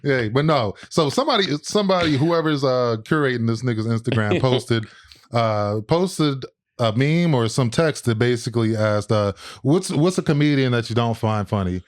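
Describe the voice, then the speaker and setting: A man's voice: mid-range voice, animated, normal speed. An American man co-hosting a podcast in casual chat, with slangy, profane phrasing. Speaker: podcast co-host.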